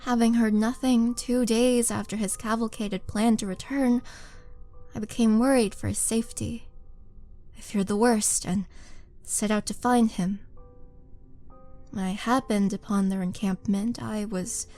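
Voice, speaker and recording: A woman playing a vengeful, seductive monster warrior in a wistful, theatrical roleplay monologue, with dramatic pauses. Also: medium pitch, steady tone, dark tone, faint music behind, lightly acted. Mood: reminiscing.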